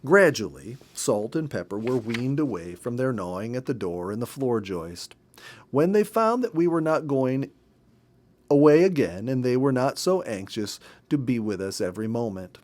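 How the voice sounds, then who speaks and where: A man reads a story aloud in an expressive, measured, emphatic way. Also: mid-range voice. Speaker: storybook reader. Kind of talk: read-aloud storytelling from a nature memoir.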